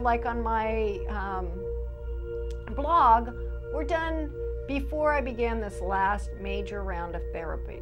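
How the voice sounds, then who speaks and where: A forty-nine-year-old woman hosting her own show, speaking to the camera in an earnest, halting way with frequent restarts. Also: fairly high pitch. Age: 40s. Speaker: show creator and host. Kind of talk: personal address to camera.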